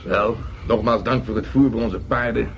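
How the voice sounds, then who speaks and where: Low-voiced, courteous traveller. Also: male voice, moderate pace, creaky. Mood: gracious, jovial. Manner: formal, gracious. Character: courteous, confident male traveller, leader of horsemen. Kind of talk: Dutch radio drama acting. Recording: noisy radio play recording.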